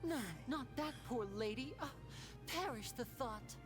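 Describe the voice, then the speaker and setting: An actor playing a suave young male magician turned detective in a video game, theatrical, measured and mockingly polite. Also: very high voice. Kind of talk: English video game voice acting. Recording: background music under the voice.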